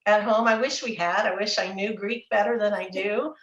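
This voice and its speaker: A woman's voice: medium pitch, steady tone, moderate pace, fairly bright tone, roomy sound, lo-fi sound. A middle-aged woman from Texas, an author chatting in an interview and telling stories with repeated words. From Texas, USA. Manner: storytelling, repeats words, chuckles. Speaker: author guest. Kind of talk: casual interview chat.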